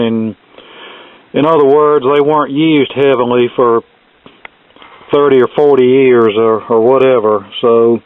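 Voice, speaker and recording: A man's voice: medium pitch, dry recording, narrow, tinny audio. A man talking casually and off the cuff in a folksy way as he narrates hands-on repair work. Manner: folksy, unscripted. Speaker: repair channel host.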